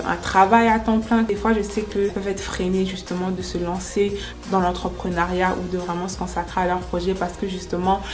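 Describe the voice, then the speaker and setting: A woman in her twenties, born in France and raised in the United States, speaking as an interviewed entrepreneur in an earnest, explanatory way. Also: on the low side. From born in France, grew up in the United States. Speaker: entrepreneur and makeup artist being interviewed. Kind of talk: first-person interview testimonial. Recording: music under the voice. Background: background music.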